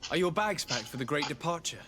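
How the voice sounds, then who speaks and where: An actor playing a polite, composed young nobleman in a video game, speaking formally with a gentle teasing edge. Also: a little high, animated, fast, noisy background, natural voice. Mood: courteous. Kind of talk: video game dialogue acting.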